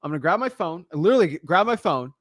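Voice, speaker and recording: A young man hosting a casual chat, telling stories in an energetic, animated way. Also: high voice, no echo, clean studio sound. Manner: energetic, fast-talking, animated storytelling. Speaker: podcast host.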